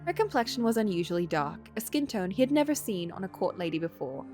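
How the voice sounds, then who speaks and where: A woman's voice: medium pitch, sing-song, quick pace, a little mellow, faint music behind. A woman livestreamer reading a visual novel aloud, moving between story narration and casual commentary.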